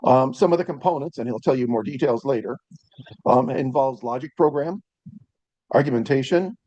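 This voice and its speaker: A man hosting a tech meetup, announcing upcoming events and introducing the evening's speaker in a relaxed, chatty way with frequent ums, speaking into a handheld microphone in a meeting room. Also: medium pitch, normal speed, no echo, muffled recording. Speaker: meetup host.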